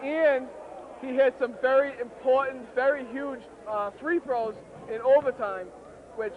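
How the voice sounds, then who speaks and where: A man doing enthusiastic, emphatic play-by-play at an amateur basketball final, his voice hoarse and wearing out. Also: extremely high pitch, unhurried. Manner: excitable, emphatic. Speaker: play-by-play commentator. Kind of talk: amateur league courtside commentary. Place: courtside at a basketball game. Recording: tinny, noisy outdoor recording.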